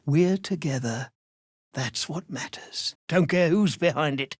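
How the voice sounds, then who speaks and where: Slow, earnest, weary gnome survivor. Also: mid-range voice, slightly rough, dry recording, clean studio sound. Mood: weary, tender. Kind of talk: video game character dialogue.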